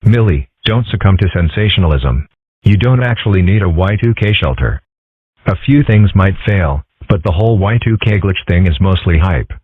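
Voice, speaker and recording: A man as a deadpan low-voiced cartoon fox boy. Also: breathy edge, dry recording. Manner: deadpan, measured, wordy. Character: calm, philosophical young cartoon fox boy, dryly skeptical. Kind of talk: amateur comic dub character acting. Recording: muffled, tinny phone-like audio.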